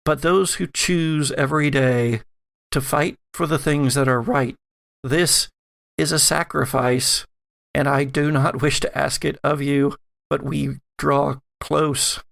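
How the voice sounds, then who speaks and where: A man voicing a slow, creaky, solemn grandmother. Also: on the high side, steady tone, a bit bright, exaggerated voice. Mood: grave, pleading. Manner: halting, weighty pauses. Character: solemn old grandmother, grave mystic pleading for sacrifice.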